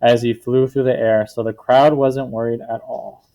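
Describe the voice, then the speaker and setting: A man's voice: mid-range voice, little pitch movement, moderate pace, dark tone. A man teacher reading a picture book aloud to children, explaining words simply and checking understanding with questions. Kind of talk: read-aloud storytelling with questions to a class.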